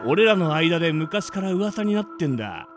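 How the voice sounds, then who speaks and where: A man playing a scheming, folksy ghost with rough, wheedling speech in a radio drama. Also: on the high side, animated, normal speed, over music, close to an everyday voice. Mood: menacing. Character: scheming rough male ghost, folksy drinker, falsely friendly. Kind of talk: amateur radio drama acting.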